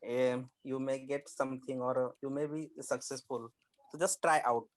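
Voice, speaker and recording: Halting man, very dry sound. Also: medium pitch, fairly even intonation, no echo. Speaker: workshop participant. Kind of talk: informal personal reflection.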